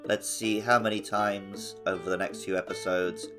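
A man's voice: deep voice, flat delivery, moderate pace, music in the background. A man co-hosting a casual gaming podcast with wry, self-deprecating banter. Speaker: podcast co-host. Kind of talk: casual banter about video games.

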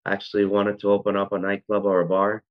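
Venezuelan-born guy in his 40s, lowish voice, rambles w lots of ums, muffled lo-fi audio. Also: completely flat delivery, quick pace. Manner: rambling, frequent ums and self-corrections. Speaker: restaurant founder, guest. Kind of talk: conversational interview. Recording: dull lo-fi sound.

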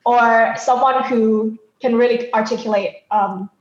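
A woman from Thailand speaking as a podcast guest, explaining her thoughts in a reflective, halting, conversational way. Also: on the high side, fairly even intonation, echoey room, muffled recording. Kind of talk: conversational interview answers.

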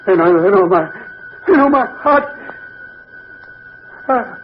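A man's voice: high voice, animated, slow. An actor playing a frail, querulous old rich man who mutters and repeats himself in an old-time radio drama. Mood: terrified, pleading. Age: older. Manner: muttering, repetitive, fussy. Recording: muffled, noisy vintage radio drama recording.

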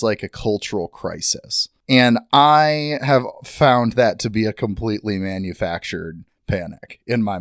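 A man in his twenties talking in an animated, self-mocking way in a casual podcast chat. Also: medium pitch, no echo, clean studio sound. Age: 20s. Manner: animated, self-mocking, quick to put on voices.

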